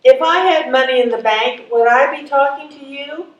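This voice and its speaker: A woman's voice: high-pitched, moderate pace, highs cut off, lightly acted. A woman playing a wry, stubborn, hungry caller of seventy-three in a live readers theatre reading. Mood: exasperated. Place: live stage reading in a room. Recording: echoey room, distant microphone.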